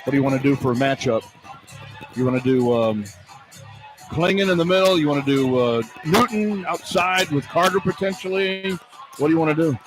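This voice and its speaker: A man's voice: on the high side, a little fast, poor audio. A man working as a play-by-play radio broadcaster, talking casually and hosting sports coverage on a headset mic. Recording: music bleeding in behind voice. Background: clear music in background.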